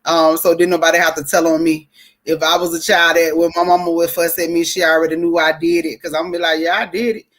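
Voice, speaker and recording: A woman's voice: fairly low pitch, little pitch movement, fast. A woman, guesting on a podcast over a video call and telling her story bluntly and plainly. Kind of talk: casual storytelling.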